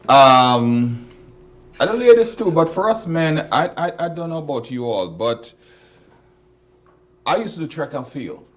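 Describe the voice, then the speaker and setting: A Jamaican man hosting a casual chat, joking and laughing, with sudden loud bursts. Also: on the high side, sing-song, a little slow, long pauses. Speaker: host.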